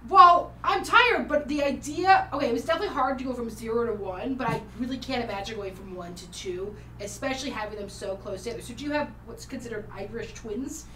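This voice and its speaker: A woman's voice: on the high side, a little fast, few pauses, pushed and bright, roomy sound, distant mic, a little hiss or hum, low-quality recording. A woman in her thirties from Philadelphia, co-hosting a therapy podcast, blunt and casually swearing. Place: podcast studio. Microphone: studio mic with headphones.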